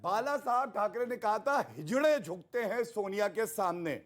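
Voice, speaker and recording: A man's voice: high-pitched, lively intonation, normal speed. A man arguing in a heated TV debate as a panelist, combative and rhetorical, repeating himself for emphasis.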